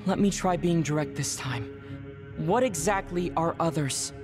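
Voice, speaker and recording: A woman's voice: sits low, a little slow, music in the background. A woman playing a cool, skeptical young soldier in a video game, composed and matter-of-fact. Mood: cool, analytical. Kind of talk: scripted video game voice acting.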